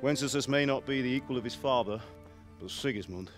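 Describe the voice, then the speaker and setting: A male actor voices a cautious middle-aged blacksmith and father in a game drama. He speaks in a measured, homely way at a medium pitch, sounding like a man tired of adventure. Music plays behind him. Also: close to an everyday voice. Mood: firm, opinionated. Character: plain-spoken, cautious village blacksmith and father. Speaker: voice actor in a video game cutscene.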